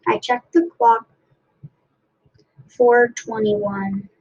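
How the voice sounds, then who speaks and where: A woman reading a storybook aloud in a halting way, restarting phrases as she goes. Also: on the high side, steady tone, darkish tone, highs cut off. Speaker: story reader. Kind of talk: read-aloud storybook narration.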